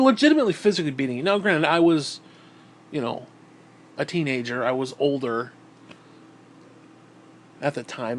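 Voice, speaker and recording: A man with a stuffed-up, nasal voice, talking in a bitter, profane, rambling monologue into a tablet. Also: on the high side. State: stuffed-up nose. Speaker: vlogger.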